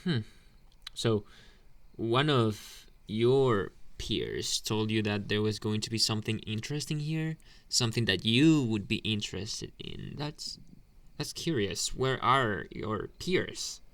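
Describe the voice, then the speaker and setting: A man playing a teasing delinquent bully boy with a secret soft side, in a taunting, drawn-out anime ASMR roleplay. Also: lowish voice, expressive, exaggerated voice. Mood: teasing, suspicious. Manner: taunting, drawn-out, questioning hums. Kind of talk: ASMR boyfriend roleplay, slow intimate speech.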